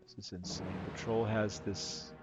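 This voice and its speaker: A man with a hoarse voice giving casual, excitable live-stream commentary, rambling and gushing with amazement. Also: lowish voice, measured pace. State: hoarse from talking all day. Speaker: live streamer, virtual world tour guide. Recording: dull lo-fi stream audio with music underneath. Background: music playing.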